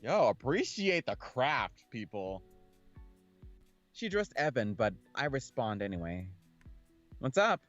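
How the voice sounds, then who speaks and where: Lively man reading a game aloud. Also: medium pitch, unhurried, lo-fi sound. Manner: slangy, jokey, reacting aloud. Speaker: let's play host reading a visual novel aloud. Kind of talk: casual playthrough reading and commentary. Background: background game music.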